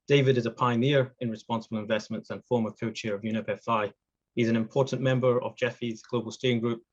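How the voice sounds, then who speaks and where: A man hosting a launch event, reading measured prepared remarks and introducing the speakers. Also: on the low side, husky, dull audio. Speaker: event host. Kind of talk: formal prepared speech.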